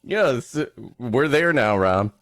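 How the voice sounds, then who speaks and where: A man's voice: mid-range voice, animated, takes it slowly, a bit bright, no echo, clean studio sound. A man hosting a comedy podcast, reading an old story aloud and breaking off for playful, joking banter.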